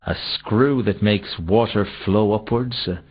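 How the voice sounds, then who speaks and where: Dim cowardly watchman for a comedy game, low gruff husky voice, shifty and whiny. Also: measured pace. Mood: dim, curious. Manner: hesitant, evasive, whiny excuse-making. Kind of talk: comic video game voice acting. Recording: dull, muffled old console game audio.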